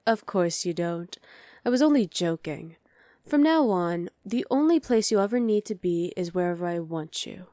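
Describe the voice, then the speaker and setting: A woman's voice: lowish voice, lively intonation, measured pace, dark tone, no room sound. A woman voicing a dominant, haughty evil queen in an ASMR roleplay, imperious, sly and condescending. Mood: smug, commanding.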